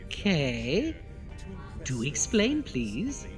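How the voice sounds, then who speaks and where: An actor playing a campy, ghoulish horror-show host with a theatrical, drawn-out delivery. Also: mid-range voice, huge pitch swings, soft background music, full character voice. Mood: exasperated.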